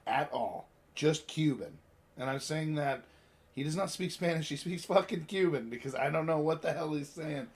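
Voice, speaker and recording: A man's voice: on the high side, normal speed. An American man hosting a casual chat, speaking bluntly and swearing freely. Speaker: podcast host.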